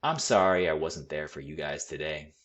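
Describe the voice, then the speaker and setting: A man's voice: extremely low pitch, lively intonation, normal speed. A man voicing an eager, earnest young boy bandleader in a storybook, calling out his lines. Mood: earnest, determined. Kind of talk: storybook character voice.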